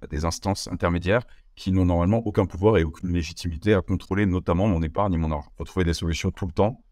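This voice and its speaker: Low-voiced young Frenchman, quick and hesitant. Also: steady tone, a little mellow, dry recording, studio quality. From France. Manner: hesitant, many fillers and restarts. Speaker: interview guest, entrepreneur. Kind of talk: casual interview talk.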